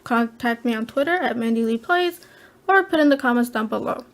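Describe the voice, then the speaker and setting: A soft-spoken woman hosting a casual gaming podcast from home, chatty and giggly. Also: on the high side, expressive, brisk. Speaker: podcast host.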